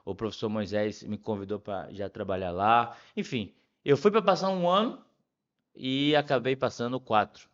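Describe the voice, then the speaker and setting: A middle-aged man with a Bahian Brazilian accent telling stories casually as a podcast guest, often pausing and repeating words as he thinks. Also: mid-range voice, lo-fi sound. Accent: Bahian Brazilian Portuguese. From Salvador, Bahia, Brazil. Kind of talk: casual conversational storytelling.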